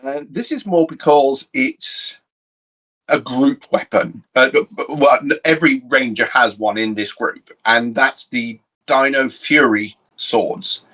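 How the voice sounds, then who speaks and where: A young man co-hosting a casual chat, explaining his picks in a measured, thoughtful way. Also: mid-range voice, steady tone, sharp tone, poor audio. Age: young adult. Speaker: co-host.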